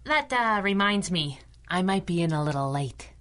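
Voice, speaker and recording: A woman's voice: on the low side, animated, moderate pace, a bit bright. A woman playing a cheeky, fearless, wisecracking superhero sidekick in a pulp radio drama, sassy and teasing. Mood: playful.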